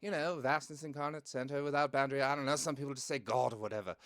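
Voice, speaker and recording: A man's voice: medium pitch, fast, fairly bright tone, dry recording, studio quality, natural voice. A man playing a vain, androgynous goddess of secrets in a comedic radio play, breezily grandiose and forever interrupting themself. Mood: breezy, pompous.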